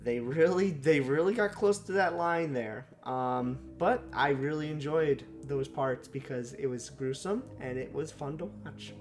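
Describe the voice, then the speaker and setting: A young man with a slightly sore, raspy throat, giving unscripted first impressions of a movie, rambling with lots of fillers and self-corrections. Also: fairly high pitch, a little slow, hardly any pauses, soft background music. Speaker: movie reviewer.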